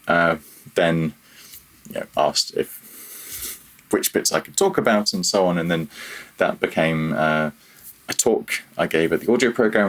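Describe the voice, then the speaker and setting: A man with a very deep, husky voice talks as a podcast guest. He is reflective and casual, feeling his way through halting phrases with a modest, self-deprecating touch. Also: a bit bright. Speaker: podcast guest, freelance audio programmer. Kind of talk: reflective casual interview talk.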